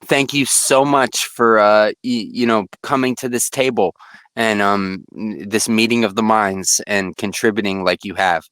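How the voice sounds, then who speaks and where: A man hosting a casual group call, speaking haltingly with repeated starts. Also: fairly low pitch, fairly even intonation, a bit bright, no room sound. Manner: halting, stammering.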